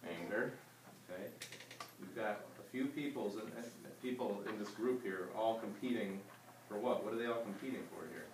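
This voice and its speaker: A man's voice: fairly low pitch, moderate pace. A man teaching a class, reading a story aloud and putting questions to his pupils. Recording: roomy distant mic with background noise.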